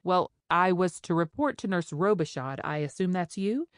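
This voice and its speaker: A woman reading as a prim young doctor with a Charleston accent in an audiobook. She speaks formally and carefully, in a lively voice that sits low. The sound is close and dry. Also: moderate pace, dry recording, close to an everyday voice. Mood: polite.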